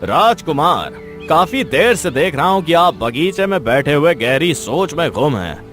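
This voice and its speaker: Animated concerned royal minister, acted by a man. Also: a little high, unhurried, soft background music. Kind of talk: Hindi cartoon moral story voice acting.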